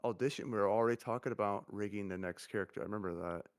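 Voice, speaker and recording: Man, monotone voice, stutters, restarts a lot, casual stream guest. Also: lowish voice, very fast. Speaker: agency CEO guest. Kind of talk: casual livestream chat.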